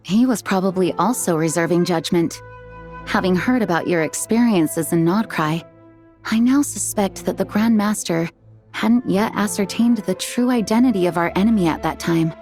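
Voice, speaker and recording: A woman playing a dutiful, composed knight commander in a video game, speaking in a measured, formal, courteous way. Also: lowish voice, a little mellow, close to an everyday voice. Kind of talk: video game dialogue and story narration. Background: music.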